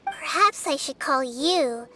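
A woman voicing a small, wise, childlike goddess in a video game, composed, articulate and gently probing. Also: sits high, lively intonation, takes it slowly, noisy background. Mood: calm, probing. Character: small, childlike female deity, wise, calm and perceptive. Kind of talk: scripted video game dialogue.

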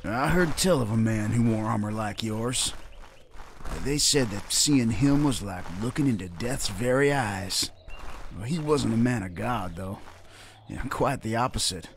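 A man's voice: on the low side, a little slow, slight background noise. An actor playing a wary, talkative western gunman in a video game, musing in a folksy way. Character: wary, talkative western gang member in ranger disguise.

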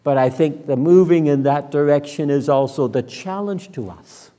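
A man in his sixties, a minister preaching and praying in a measured, solemn, deliberate manner. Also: a little high, warm tone. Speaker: minister leading worship. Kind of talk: sermon and public prayer. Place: church sanctuary, at the front and pulpit. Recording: reverberant church hall.

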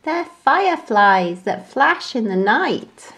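A playful female monkey from a children's storybook, teasing and exclaiming, performed by a woman reader. Her voice is pushed high and moves up and down a lot at an unhurried pace. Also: sing-song, vocal fry, fairly bright tone, exaggerated voice. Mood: amused teasing.

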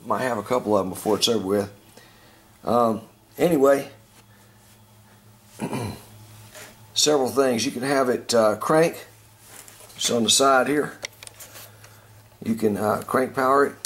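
A man hosting a casual hands-on product review, talking in a folksy, informal, halting way. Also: mid-range voice, a bit bright. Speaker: host of a product review video channel. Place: at a tabletop, later out in a backyard. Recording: clear background noise, home camera recording.

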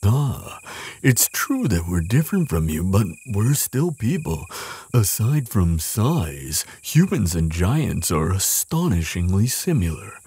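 A man playing a gentle, bookish giant in a roleplay audio drama, speaking to the listener in a tender, reassuring, politely hesitant way, with a husky, breathy voice. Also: lowish voice, heavily acted. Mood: earnest. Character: gentle, bookish male giant, kindly and protective.

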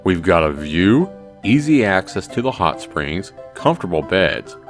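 A man's voice: sits low, very animated, unhurried, soft background music. A man voicing a cocky, goofy teenage schoolboy from a visual novel, brash and teasing. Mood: eager, cocky. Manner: brash, teasing dude talk.

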